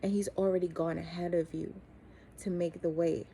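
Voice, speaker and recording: Woman, middle-aged, mellow and fairly even in pitch, reflective faith-coach delivery, earnest and deliberate, slight background noise. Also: vocal fry. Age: 40s or 50s. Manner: earnest, encouraging, deliberate with frequent pauses. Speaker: coach and host giving encouragement. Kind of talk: reflective motivational talk with religious faith.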